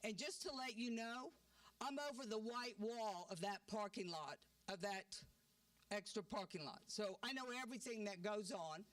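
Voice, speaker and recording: A woman's voice: mid-range voice, moderate pace, no room sound. An older woman giving an outspoken, chatty public comment at a community forum, tagging her points with "okay?". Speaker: community member speaking at a forum. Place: church hall at a community meeting. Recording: dry, distant handheld mic.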